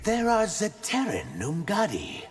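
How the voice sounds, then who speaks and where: An actor playing an earnest young male reptilian shapeshifter, speaking formally and in measured phrases in a video game. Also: high-pitched, wildly swooping pitch, over loud music, heavily acted. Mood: firm. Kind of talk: video game fight banter, dramatic voice acting.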